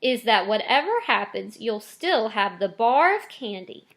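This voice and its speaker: A woman's voice: a little high, sing-song, a little slow, fairly bright tone, full character voice. Woman reading as a firm, admonishing old grandparent. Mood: gently cautioning. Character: disapproving, kindly old grandparents of a poor boy.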